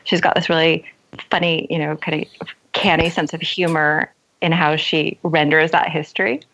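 A woman's voice: deep voice, level tone, normal speed, vocal fry, a bit bright, muffled recording. A woman author speaking as an interview guest, articulate and thoughtful as she reflects on writing.

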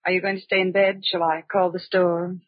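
A woman's voice: lowish voice, little pitch movement, a little fast, dry recording, lightly acted. A woman with an American accent playing a composed, dryly teasing wife in an old radio drama. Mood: mild, attentive. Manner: dry, composed. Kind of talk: 1940s radio drama acting. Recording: muffled old radio recording.

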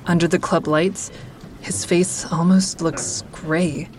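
Low-voiced comedian narrator. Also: female voice, level tone, slow pace, darkish tone, a little hiss or hum. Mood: observant, concerned. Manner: intimate present-tense storytelling. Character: female comedian narrating her own story, observant. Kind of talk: scripted first-person drama narration.

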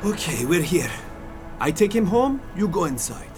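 An actor playing an impatient, brusque cab driver with a Chinese accent and clipped broken English in an audio drama. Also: male voice, high-pitched, very animated, normal speed, music in the background. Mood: impatient, dismissive. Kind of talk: audio fiction acting.

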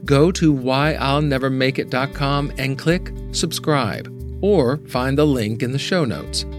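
A man's voice: medium pitch, animated, measured pace. Man reading a promo over guitar music. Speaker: podcast host, announcer. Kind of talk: scripted promotional read. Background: plucked guitar music.

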